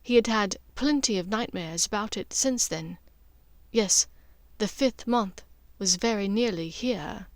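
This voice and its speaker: A woman narrates fiction expressively, reading from a script. Her pitch sits in the middle for a woman, and the recording is close and dry. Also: unhurried, no room sound. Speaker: podfic author and narrator.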